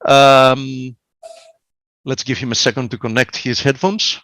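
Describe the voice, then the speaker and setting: A man co-hosting a webinar, speaking in a friendly, halting way with lots of fillers. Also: medium pitch, little pitch movement, bright, forceful tone, no room sound. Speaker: co-host. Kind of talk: casual webinar chat.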